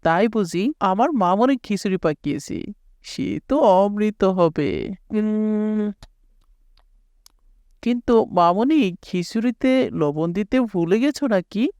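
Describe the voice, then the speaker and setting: Cartoon dad, woman performer, kindly and principled, mid-pitched for a female voice, dry close sound. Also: lively intonation, slow, a little mellow, clean tone, dry recording. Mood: affectionate, reassuring. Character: gentle, honest, poor village father. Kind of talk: cartoon character acting.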